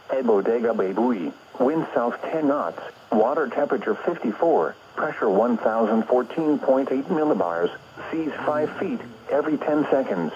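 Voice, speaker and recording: A man's voice: medium pitch, a little mellow. Synthetic American man on dull weather radio. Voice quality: synthetic text-to-speech voice. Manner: formal, impersonal, measured phrasing. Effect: radio broadcast. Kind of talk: scripted weather bulletin reading. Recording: dull lo-fi radio reception, noisy.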